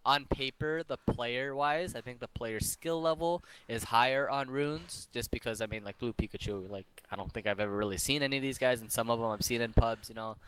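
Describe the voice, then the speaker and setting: A man with an American accent, co-casting a game match with rambling, hedging analysis, quick to laugh. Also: mid-range voice, fairly bright tone, clear, resonant tone, rough recording. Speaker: esports caster. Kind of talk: casual game analysis commentary.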